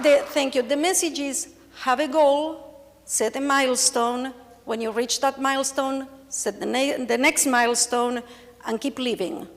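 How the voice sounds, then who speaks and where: A woman's voice: high-pitched, fairly bright tone, slight background noise. A woman in her forties from Argentina with an Argentine accent, Spanish as her first language, giving a candid, joking conference presentation as a patient speaker. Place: stage in a large hall. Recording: long hall reverb.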